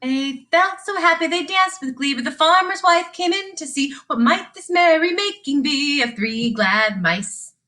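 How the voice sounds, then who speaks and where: Woman, children's storyteller. High, slow, sing-song delivery with deliberate repetition. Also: lively intonation, pushed and bright. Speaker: teacher reading aloud. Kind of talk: sing-song children's read-along narration.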